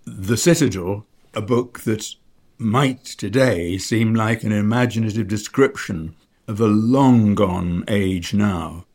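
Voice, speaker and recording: Older male expert contributor, lower register, unhurried, considered delivery. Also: a little mellow. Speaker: former surgeon, contributor. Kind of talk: considered commentary.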